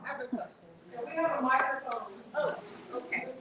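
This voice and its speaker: Loud-voiced woman, far and echoey. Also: high voice, a little fast, heavy background noise. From Harlem, New York. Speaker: audience member asking a question. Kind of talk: audience question at book event. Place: bookshop event space.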